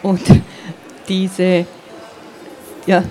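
A woman's voice: lowish voice, monotone, mellow. Woman speaking thoughtfully over noise. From Carinthia, southern Austria. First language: Slovenian. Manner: halting, searching, frequent tag "ja". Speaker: poet, interview guest. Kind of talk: reflective, explanatory interview conversation. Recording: noisy, with clear ambient background noise.

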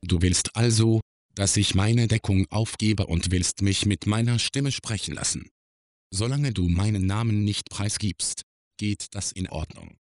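A man performing a reflective middle-aged man's literary radio-play monologue, philosophical and measured. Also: on the low side, close to an everyday voice. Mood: musing. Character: reflective middle-aged man musing on his own life.

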